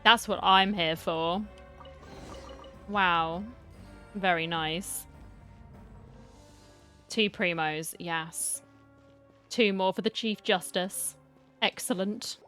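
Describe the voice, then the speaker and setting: A woman's voice: on the low side, steady tone, drawn-out words, long pauses, pushed and bright. A woman doing casual let's-play commentary, chatty and talking back to the game. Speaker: gamer, streamer. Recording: game music behind the voice.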